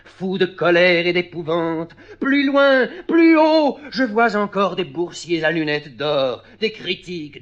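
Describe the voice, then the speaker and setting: An ecstatic circus clown played by a man from a dramatic poem, yearning and imploring. The voice sits high and soars toward exalted cries. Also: a bit bright, muffled recording. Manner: exalted, pleading, rising to cries.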